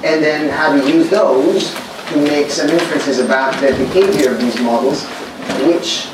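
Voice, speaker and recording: A man from Greece with a Greek accent, giving a thoughtful academic lecture with many pauses and fillers, recorded in a large lecture hall from a distant mic. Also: on the high side. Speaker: workshop speaker. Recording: echoey hall recorded from far off, noisy.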